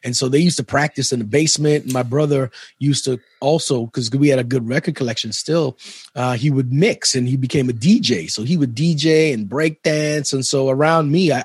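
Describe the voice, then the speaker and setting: A man's voice: mid-range voice, slightly rough, no echo. A middle-aged man from Trinidad, a musician and chef, chatting casually in an interview, laid-back and rambling, laughing often.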